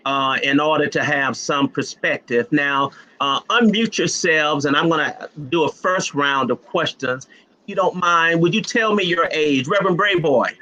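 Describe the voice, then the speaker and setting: A jovial man moderates a live panel over a video call, teasing his guests as he fires off questions. His voice is bright and forceful and sits a little high for a man. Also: normal speed, low-quality recording. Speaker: host and moderator.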